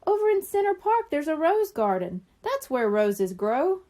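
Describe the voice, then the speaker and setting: Woman doing a chirpy, high-pitched little boy. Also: sing-song, a little slow, warm tone. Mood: confident, eager. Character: cheerful, eager young boy at a sleepover.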